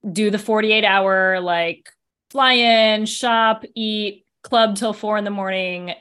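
A woman's voice: mid-range voice, steady tone, slow, a bit bright, clear, resonant tone. A woman joining a casual chat over a video call, telling an upbeat story. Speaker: guest.

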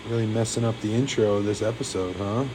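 A man's voice: fairly low pitch, steady tone, fast talker, background noise. A man co-hosting a casual livestream podcast, joking bluntly and swearing freely with his listeners and co-host.

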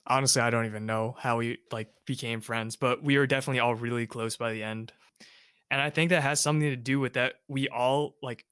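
A man's voice: mid-range voice, clear, resonant tone, no room sound, clean studio sound. A young man hosting a casual chat, talking loosely with lots of filler words. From United States. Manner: relaxed, rambling, many fillers. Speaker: host.

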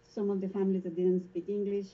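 A woman's voice: mid-range voice, flat delivery, fast, lo-fi sound. A woman with a Spanish accent who works in a school front office, telling stories in a casual interview and laughing often. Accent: Spanish-speaking.

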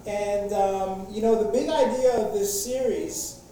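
A man preaches in an easygoing, conversational way, stumbling through fillers and restarts. His voice is mellow and comes from far off, with heavy echo. The recording sounds like it was made in a large church hall. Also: high voice, unhurried, a little hiss or hum. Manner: casual, jokey opening, many fillers and restarts. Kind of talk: sermon.

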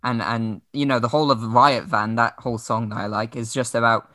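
Bright-voiced young man chatting in a dry room. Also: medium pitch, steady tone, fairly quick, dry recording. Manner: jokey, playful. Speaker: podcast co-host. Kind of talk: casual chat about music albums.

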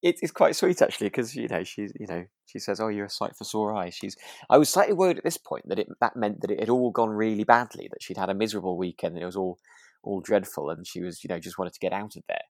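A man's voice: lowish voice, lively intonation, quick pace, no room sound, clean studio sound. A man co-hosting a podcast, chatting casually in a hesitant, tentative way. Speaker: podcast co-host. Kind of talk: relaxed chat reviewing a radio drama.

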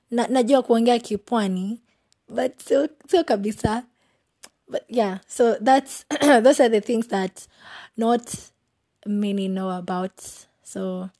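A young woman with a Kenyan accent, hosting a casual solo podcast, giggly and playful, often trailing off into soft asides. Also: medium pitch. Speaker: podcast host.

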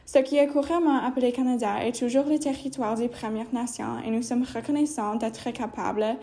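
A woman's voice: fairly high pitch, steady tone, moderate pace, mellow, roomy sound. A woman with an anglophone Ontario accent in French, a native English speaker hosting a podcast, reading her introductions carefully. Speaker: podcast host. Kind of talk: reading scripted introduction, then interviewing.

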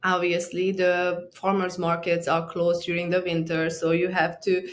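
A middle-aged woman from Romania, a guest author talking through an interview in an earnest, halting way. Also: lowish voice, completely flat delivery. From Transylvania, Romania. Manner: earnest, halting, with frequent restarts. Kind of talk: conversational interview.

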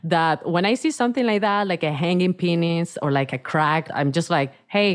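Woman co-host, lowish voice, relaxed conversational questions. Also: moderate pace, noisy background. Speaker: podcast co-host. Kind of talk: casual chat.

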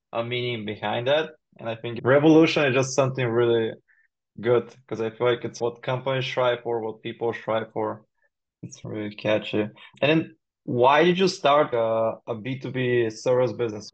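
Casual male podcast host, slightly dull audio. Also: mid-range voice. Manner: informal, frequent "like" and "I feel". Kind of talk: casual chat interview.

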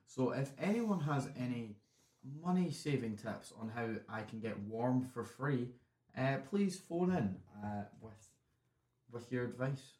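Young man, mid pitch, hesitant apologetic radio chat, roomy off-mic sound. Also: expressive, measured pace, a little mellow. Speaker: radio show host. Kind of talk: casual student radio chat. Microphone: too far from the studio microphone.

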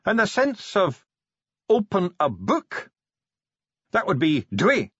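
A man voicing an earnest, scholarly dwarf translator in an audiobook, reciting ancient lore in slow, archaic, declamatory phrases. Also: sits high, huge pitch swings, fairly bright tone, husky, dry recording, lo-fi sound, pitched above the performer's own voice, heavily acted. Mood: helpful, puzzled.

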